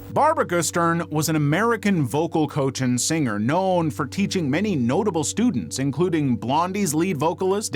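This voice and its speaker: A man reading scripted true-crime narration as a narrator. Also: on the high side.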